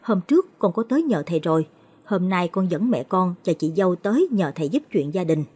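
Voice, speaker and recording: A woman's voice: fairly low pitch, lively intonation, fairly quick, warm tone, slightly rough. A woman reading the part of a determined widowed younger woman. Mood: polite.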